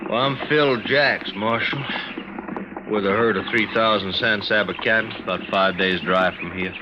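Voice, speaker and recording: A man's voice: fairly low pitch, normal speed, natural voice. A man acting a terse, grim cattle trail hand in an old-time radio western. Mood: terse, guarded. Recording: muffled, noisy old radio recording.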